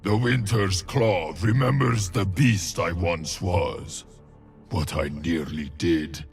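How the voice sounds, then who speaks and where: A weary warrior shaman of the frozen wilds, with a heavily gravelled, creaking voice. He speaks slowly and solemnly, giving each phrase weight, as a video game character over background music. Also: low-pitched, fairly even intonation, exaggerated voice. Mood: reflective. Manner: slow, solemn, weighty delivery.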